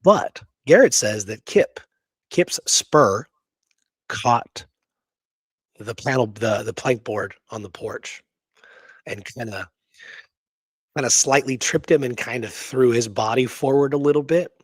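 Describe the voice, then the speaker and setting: A man co-hosting a casual history discussion, telling the story in an animated way with rhetorical questions. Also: mid-range voice, slow, pauses often, breathy edge, no echo. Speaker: podcast co-host presenting history research.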